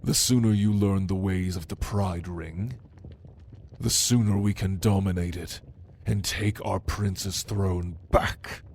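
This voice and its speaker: A man's voice: low-pitched, steady tone, vocal fry, a little mellow, a little hiss or hum, full character voice. A man playing a proud, commanding demon lord in an ASMR roleplay, speaking in a theatrical, menacing manner to the listener. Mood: imperious. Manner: slow, imperious, theatrical, menacing.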